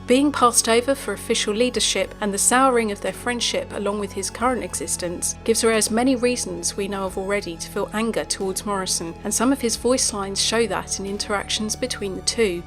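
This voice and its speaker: A woman's voice: medium pitch, a little fast, hardly any pauses, soft background music. A woman narrating game character lore in a chatty, speculative scripted style with light jokes. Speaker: video narrator.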